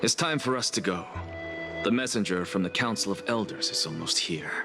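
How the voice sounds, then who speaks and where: An actor voicing a strict, aloof male scholar and teacher who speaks in a cool, measured, disdainful way in a game cutscene. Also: on the low side, lively intonation. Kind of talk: studio game voice acting. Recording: game cutscene with music behind.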